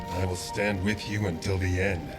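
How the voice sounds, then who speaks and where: An actor playing a steadfast, scholarly military commander briefing troops in a video game, measured and grave. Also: male voice, steady tone, over loud music, lightly acted. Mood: grave resolve. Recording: rumbling game noise behind.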